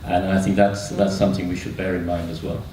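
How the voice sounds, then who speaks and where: A man with a fairly low voice makes a hesitant, unscripted comment. He sounds far from the mic in an echoing room. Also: little pitch movement, fast. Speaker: discussion participant. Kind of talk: spontaneous discussion comment. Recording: echoey room, distant mic, faint noise.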